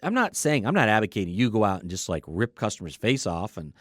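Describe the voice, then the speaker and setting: A man with a fairly low voice, talking casually on a podcast. He explains his points conversationally, like someone sharing work anecdotes. The recording is dry, with very little room sound. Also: big pitch swings, fast talker, dry recording. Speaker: car dealership service coach.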